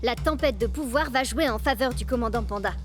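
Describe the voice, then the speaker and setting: Woman as high-voiced know-it-all cartoon girl. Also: fairly quick, soft background music, natural voice. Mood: earnest, instructive. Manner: explanatory, earnest. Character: earnest, know-it-all young girl game strategist. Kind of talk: cartoon dub acting.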